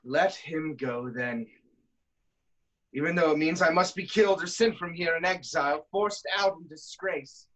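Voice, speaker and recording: A woman's voice: low voice, measured pace, a bit bright, low-quality recording. A woman playing a proud, suspicious king in a staged reading of Greek tragedy, with stern declamatory delivery. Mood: bitter, resigned. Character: proud, suspicious, hot-tempered male king of Thebes.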